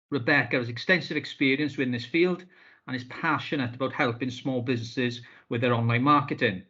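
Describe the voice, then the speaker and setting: A man's voice: medium pitch, pushed and bright. Formal man on a muffled video call. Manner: formal, with frequent ums and uhs. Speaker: business support officer hosting a webinar. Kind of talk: scripted welcome on a video call.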